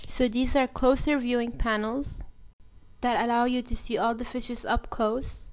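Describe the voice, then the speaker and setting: A woman's voice: on the high side, steady tone, measured pace. A young woman narrating a vlog as its host. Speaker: vlogger. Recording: loud music and crowd noise behind. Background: music, mall crowd.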